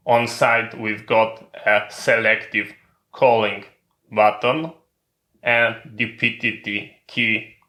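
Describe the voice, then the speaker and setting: A man's voice: lowish voice, fairly even intonation, slow, pushed and bright. A man giving a methodical, step-by-step hands-on equipment tutorial in non-native English phrasing. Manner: methodical, instructional. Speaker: tutorial presenter. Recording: roomy, echoing sound.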